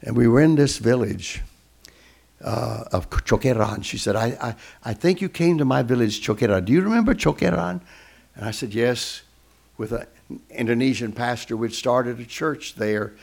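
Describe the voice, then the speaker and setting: Gravelly-voiced man telling a sermon story. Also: medium pitch, normal speed. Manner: animated storyteller, acting out quoted voices. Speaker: guest speaker.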